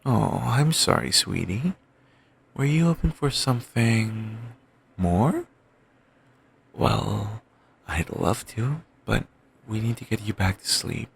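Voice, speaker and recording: A man playing a gravelly, doting, possessive boyfriend. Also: animated, slow pace, pauses often, exaggerated voice. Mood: tender. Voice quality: soft, soothing, murmured. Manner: coaxing, endlessly affectionate, quietly controlling. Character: doting possessive young male boyfriend, obsessive. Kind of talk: ASMR audio roleplay. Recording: home mic, low-quality.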